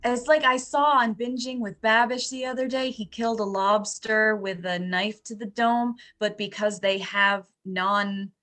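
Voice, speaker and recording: A woman improvising narration and chatting casually in a tabletop horror game, building descriptions haltingly, phrase by phrase. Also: medium pitch, fairly even intonation, a bit bright. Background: faint music.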